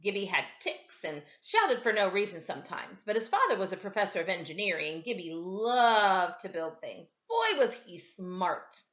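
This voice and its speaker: Storybook reader: woman, animated delivery with lively intonation, mid pitch, slightly lo-fi sound. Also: normal speed, few pauses. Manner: animated, dramatizes dialogue. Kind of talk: expressive read-aloud storytelling for children.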